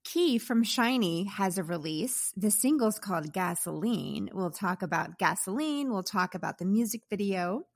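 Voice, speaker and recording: A woman co-hosts a podcast and opens the episode in a bright, upbeat way. She moves through the lineup briskly and keeps the tone easy and casual. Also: mid-range voice, animated. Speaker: podcast co-host.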